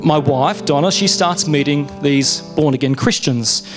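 A man's voice: on the high side, unhurried, music in the background. A middle-aged man from Australia with an Australian accent, sharing a personal testimony on a church stage in a chatty, storytelling way that is full of restarts, speaking into a handheld mic. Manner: conversational storytelling with self-interruptions. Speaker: guest speaker.

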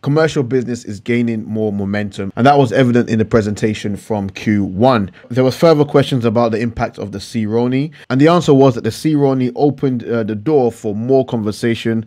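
Male finance vlogger, mid-pitched, explains in an informal, emphatic way, restating points for stress. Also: a little fast, hardly any pauses, warm tone. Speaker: finance YouTuber. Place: indoor room at a computer.

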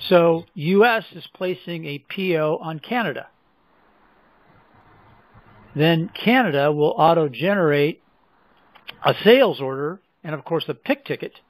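A man presenting a software demonstration in scripted, explanatory narration with measured phrasing. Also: fairly high pitch, expressive, no room sound, rough recording. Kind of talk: scripted product demo narration.

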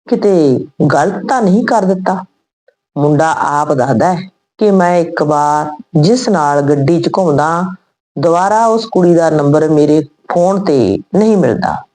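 A woman's voice: low voice, lively intonation, unhurried, mellow, low-quality recording. A woman storyteller voicing a worried young woman who confides her regret in a troubled way.

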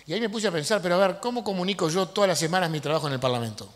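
A man's voice: high voice, rapid-fire. Man lecturing in a reverberant hall. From Uruguay. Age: middle-aged. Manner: animated, gestures while speaking, frequent fillers and restarts. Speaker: lecturer and politician. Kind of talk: conference lecture. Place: large university auditorium with audience. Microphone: handheld microphone.

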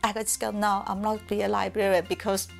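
A woman's voice: mid-range voice, level tone, fairly quick, vocal fry, faint music behind. Chinese-accented woman recalling her past. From southern China. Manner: candid, reflective, laughs softly now and then. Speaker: musician. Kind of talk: interview storytelling.

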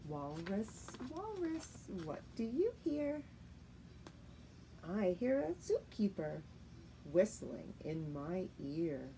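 A middle-aged woman, a teacher reading a picture book aloud to young children in a deliberate, sing-song, expressive way, recorded at home. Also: mid-range voice, rough recording. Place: living room at home, indoors. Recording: faint steady noise, home room recording.

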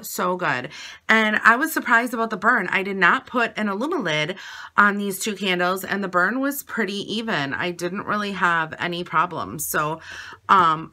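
A woman in her forties talks casually to a phone camera in a dim bedroom, rambling with plenty of ums. Her voice is raspy and airy from asthma, low in pitch yet bright and edgy in tone. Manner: chatty, rambling with frequent ums. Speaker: vlogger. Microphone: webcam or phone at arm's length.

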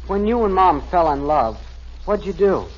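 An American actor playing an earnest, lovestruck fifteen-year-old son in a 1950s radio sitcom, gushing and naive. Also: male voice, sits high, big pitch swings, normal speed. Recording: muffled old radio broadcast, faint hiss.

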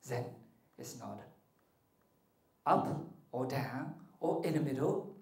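A man's voice: mid-range voice, slow pace. Playful man lecturing in an echoey hall. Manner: animated, joking, asks the audience questions. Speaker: martial arts and meditation teacher. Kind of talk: playful spiritual talk to an audience. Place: large hall with curtained stage. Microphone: handheld mic.

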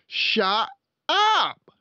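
A man's voice: very high-pitched, wildly swooping pitch, drawn-out words, pushed and bright, no echo, lo-fi sound, pitched above the performer's own voice, heavily acted. A man voicing a boastful, bullying, hot-tempered father in a storybook, blustering and pompous. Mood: angry, shouting. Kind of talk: storybook read-aloud character voice.

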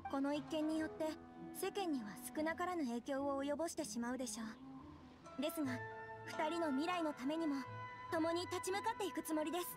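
Woman acting as young noblewoman, high voice, courteous, humble, quietly worried, video game dialogue, background music. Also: brisk, close to an everyday voice. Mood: polite, composed. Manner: formal, humble, soft-spoken politeness.

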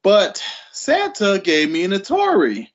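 A man in his late thirties, a guest on a podcast, telling stories with animated energy and chuckling between thoughts. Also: high-pitched, unhurried. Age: late 30s. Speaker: guest writer. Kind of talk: casual interview storytelling. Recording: dull lo-fi audio.

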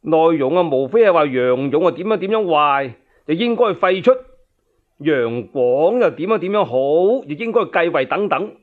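A man's voice: a little high, lively intonation, moderate pace, narrow, tinny audio. A man with a Cantonese accent narrating as a storyteller in a dramatic, traditional radio style.

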